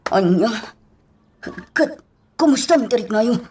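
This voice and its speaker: A woman's voice: a little high, animated, measured pace, a little mellow, slight background noise. A woman playing a caring, earnest young neighbour and loyal family friend in a radio drama, with an Ilocano accent. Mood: concerned. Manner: solicitous, earnest.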